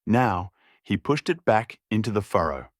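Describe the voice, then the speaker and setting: A man's voice: lowish voice, big pitch swings, unhurried, no echo, clean studio sound. A man reading a scripted story as an audiobook narrator.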